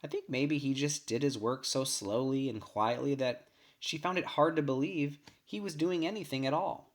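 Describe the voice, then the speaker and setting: A man with a medium-pitched voice reads a first-person short story aloud as scripted narration. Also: normal speed. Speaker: story reader.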